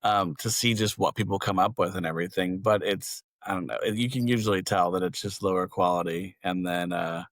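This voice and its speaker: A man's voice: sits low, a little fast, few pauses, dry recording, clean studio sound. An American man co-hosting a podcast, chatting casually and conversationally. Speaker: podcast co-host. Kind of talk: casual chat.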